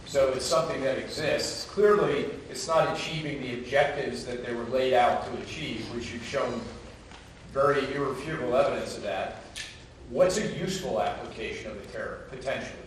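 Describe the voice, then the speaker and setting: A man in the audience, loud-voiced, asking the lecturer direct questions. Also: mid-range voice, moderate pace. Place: lecture hall. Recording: distant voice in echoey hall, faint noise.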